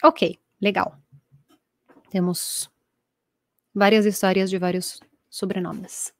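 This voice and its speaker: Woman, thirties, Italian accent, native Italian speaker, live online teacher, middle pitch, dry close sound, earphone mic. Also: slow, dry recording. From Italy. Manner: lively, reads viewer comments, gestures a lot. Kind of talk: live online language class. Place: small room at home with bookshelves. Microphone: earphone headset mic.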